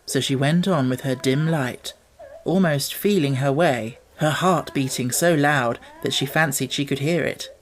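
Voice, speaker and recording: A man reading an audiobook as narrator, telling the story in a measured, expressive way. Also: medium pitch, low-quality recording.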